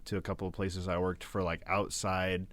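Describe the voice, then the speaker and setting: A man with a low voice chats easily on a podcast, encouraging and swearing now and then. The close mic keeps the sound dry. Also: little pitch movement, moderate pace, fairly bright tone, no echo. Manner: easygoing, encouraging, swears casually. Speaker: podcast co-host. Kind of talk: casual chat.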